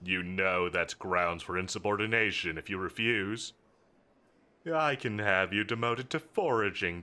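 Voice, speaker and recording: A man's voice: deep voice, pushed and bright, heavily acted. A man playing a smug, menacing villain boss in an audio roleplay, with a theatrical, mocking, condescending delivery. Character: smug, menacing male supervillain boss toying with his enemy.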